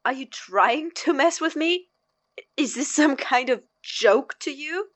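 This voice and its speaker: A stern, incredulous young man voiced by an actor in an audio drama. He is blunt and sarcastic, pressing for answers in slow, weighted phrases on a very dry recording. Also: high voice, animated, a bit bright, no room sound, exaggerated voice. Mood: angry, incredulous. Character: stern, incredulous young man confronting his experimenting housemate. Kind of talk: naturalistic audio drama acting.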